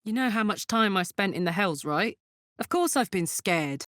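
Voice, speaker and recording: An actress voicing a blunt, battle-hardened but warm-hearted woman warrior in a video game. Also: mid-range voice, expressive, normal speed, dry recording, clean studio sound, natural voice. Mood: earnest, bitter. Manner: blunt, swearing, swinging between playful and intense. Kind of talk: video game character voice acting.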